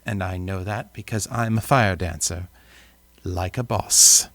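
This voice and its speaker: A man's voice: deep voice, measured pace, a bit bright, dry recording. A British man voicing an audiobook, breaking off into joking asides and silly sing-song bits between lines. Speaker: audiobook voice actor. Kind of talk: playful recording outtakes.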